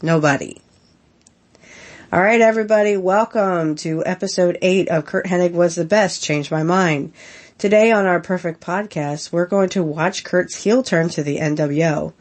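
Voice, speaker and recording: A woman hosting a casual podcast, getting over a head cold, talking in a halting way full of ums while giving earnest opinions. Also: fairly low pitch. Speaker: podcast host.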